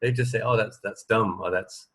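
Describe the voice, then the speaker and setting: Male radio co-host, low register, casual and conversational, halting as he works through his thoughts. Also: a crowd in the background. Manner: halting, thinking aloud. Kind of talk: casual chat.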